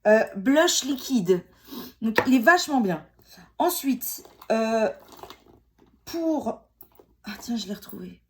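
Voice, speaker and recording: A woman's voice: fairly high pitch, animated, slow pace, pauses often, darkish tone. A woman in her forties with a cold, chatting casually and joking about herself while she does her makeup at home. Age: 40s. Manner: chatty, self-mocking, many fillers and restarts. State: has a cold. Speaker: beauty vlogger. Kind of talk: casual makeup tutorial chat.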